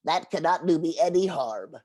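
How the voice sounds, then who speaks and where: A woman's voice: low-pitched, lively intonation, normal speed, warm tone. Woman playing a wily little storybook turtle. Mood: defiant. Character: small, wily male river turtle in a folktale.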